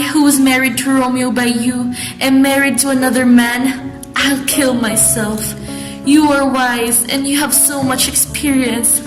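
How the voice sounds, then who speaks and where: A woman playing a desperate, defiant young noblewoman in an amateur radio drama of Shakespeare in modern English. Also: high voice. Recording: roomy echo, loud music behind. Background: music.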